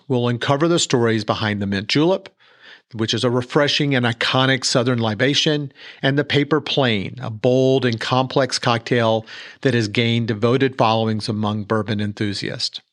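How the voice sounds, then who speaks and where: A man reporting for a local podcast, reading a scripted feature segment in a smooth, measured way. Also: mid-range voice, fairly even intonation, fairly bright tone.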